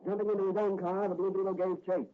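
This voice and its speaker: An actor playing a dramatic, urgent announcer narrating a 1940s radio serial. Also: male voice, high voice, flat delivery, fast talker, noisy background, natural voice. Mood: suspenseful. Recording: muffled old radio recording. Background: loud dramatic music.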